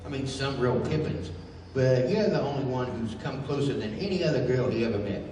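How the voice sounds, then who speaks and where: An actor playing a bumbling, hot-tempered brawny adventurer in 1930s radio style, talking in slang. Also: male voice, mid-range voice, brisk, mellow, close to an everyday voice. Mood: bashful, wooing. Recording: echoey hall, distant mic, faint noise.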